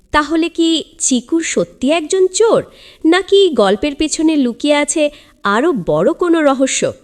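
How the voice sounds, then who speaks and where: A woman's voice: high-pitched, a little mellow. A woman narrating a children's story in a theatrical, suspenseful, scripted style.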